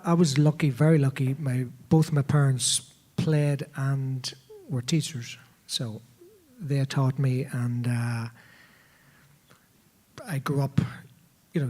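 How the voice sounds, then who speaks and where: A man, a piper on a panel, speaking modestly and calmly with stammered starts. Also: mid-range voice, measured pace. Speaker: Irish piper, panel guest. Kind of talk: casual panel conversation.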